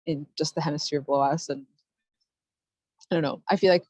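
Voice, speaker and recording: Low-voiced woman on a video call. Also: steady tone, fairly quick, darkish tone, no room sound. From United States. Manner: thoughtful, many "like" fillers and restarts. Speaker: study abroad alumna panelist. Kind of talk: reflective panel answer.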